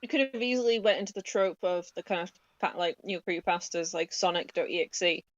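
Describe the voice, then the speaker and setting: A woman's voice: on the low side, fast, no room sound, lo-fi sound. A woman chatting casually with friends as a podcast co-host.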